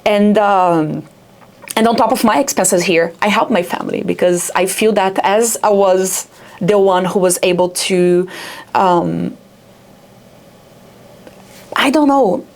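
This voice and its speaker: A woman's voice: medium pitch, slow, long pauses, a little mellow. A young adult woman from Rio de Janeiro with a Brazilian accent, speaking as a startup founder in a casual interview, with lots of fillers like "like" and "yeah". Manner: chatty, many fillers like "like" and "yeah".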